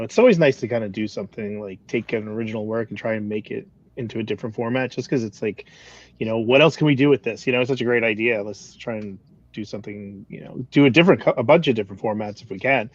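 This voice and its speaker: A man's voice: on the low side, quick pace. A man chatting informally on a video call, often repeating his words. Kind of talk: casual chat. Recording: dry, muffled lo-fi call audio.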